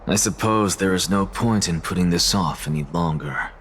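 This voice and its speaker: An actor playing a proud, cynical and defiant scholar in a video game, speaking in a measured, erudite and condescending way. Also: male voice, low-pitched, slight background noise, lightly acted. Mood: measured, explanatory. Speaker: scholar and professor character. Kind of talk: dramatic video game voice acting. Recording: music behind the voice.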